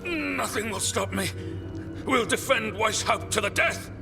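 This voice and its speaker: Gravelly, high-pitched deluded commander. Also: male voice, measured pace. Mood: imperious, delusional. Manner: imperious, barking orders. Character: deluded, haughty military commander reliving a siege. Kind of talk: video game drama acting. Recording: loud game music behind.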